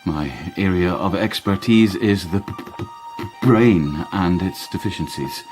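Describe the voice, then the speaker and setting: An actor playing a driven, awkward Victorian doctor with a halting stammer in a radio drama. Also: male voice, low voice, music in the background. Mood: formal, nervous.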